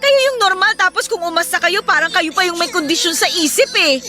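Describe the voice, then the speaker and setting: A very high-voiced young Filipina, the protective elder sister in a radio drama. She is emotional and defiant, pleading and arguing over clear background music. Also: lively intonation, a little fast. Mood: defiant, upset.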